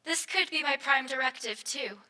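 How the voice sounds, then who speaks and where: Bright, high-voiced AI queen, played by a woman. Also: normal speed. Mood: commanding, composed. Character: Brain, cool proud female AI queen ruling a collective. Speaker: actor in a live stage audio drama. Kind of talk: live sci-fi radio play acting.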